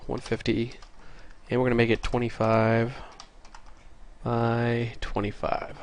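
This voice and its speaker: A man's voice: mid-range voice, monotone, slow. A man hosting a casual coding tutorial, a little congested from a cold, easygoing and apologetic as he thinks aloud while typing. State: stuffy, slightly congested from a cold. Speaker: programming tutorial host. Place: at a computer desk with keyboard typing.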